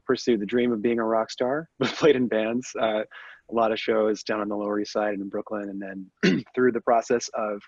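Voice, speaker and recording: A man's voice: on the low side, no room sound. A man in his thirties, a music producer, introducing himself on a call in an easygoing, slightly self-deprecating way. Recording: dull lo-fi call audio.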